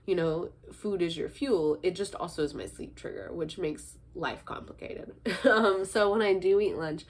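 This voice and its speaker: A woman's voice: lowish voice, slightly rough. Stuffy-nosed young woman vlogging. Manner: chatty, frequent ums and little laughs. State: stuffy from a head cold. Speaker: vlogger, teacher and fitness instructor. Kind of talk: casual talk to camera.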